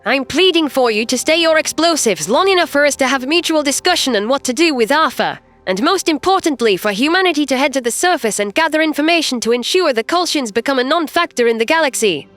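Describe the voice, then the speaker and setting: A woman playing a composed human starship captain in a sci-fi audio drama, earnest, measured and persuasive. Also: high voice, expressive, fairly bright tone, dry recording, soft background music. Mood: pleading, earnest. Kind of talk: audio drama character acting.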